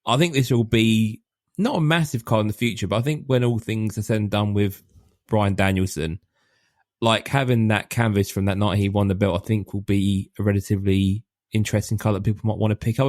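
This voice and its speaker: Low-ish guy on a podcast, lots of ums, dry sound. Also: fairly quick, no echo. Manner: relaxed, lots of ums, rambling lists. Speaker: podcast co-host. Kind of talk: casual chat.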